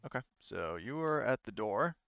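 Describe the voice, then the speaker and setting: A man's voice: mid-range voice, sing-song, moderate pace, creaky, no echo, dull audio. A man running a tabletop roleplaying game as game master, talking casually and hesitantly and swearing freely.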